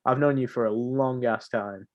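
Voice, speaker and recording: A man's voice: medium pitch, fairly even intonation, moderate pace, highs cut off. A seventeen-year-old young man co-hosting a podcast, chatting casually about games in an informal, slangy, jokey way. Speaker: podcast co-host.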